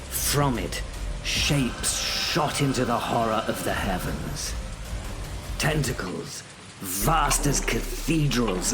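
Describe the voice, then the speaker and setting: Slow, gravelly male narrator. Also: medium pitch. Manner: brooding, dramatic, measured. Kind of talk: scripted first-person horror narration.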